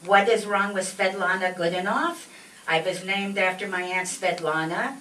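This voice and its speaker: A woman's voice: mid-range voice, fairly even intonation, normal speed, bright, forceful tone, distant mic, close to an everyday voice. Woman playing an indignant old immigrant mother, echoey stage. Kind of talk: comic old-time radio skit acting. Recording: echoey stage recording from afar, faint noise.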